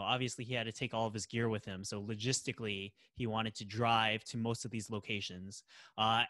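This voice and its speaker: Bright, forceful male podcast host. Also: mid-range voice, no echo. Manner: enthusiastic, emphatic. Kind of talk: casual promotional talk.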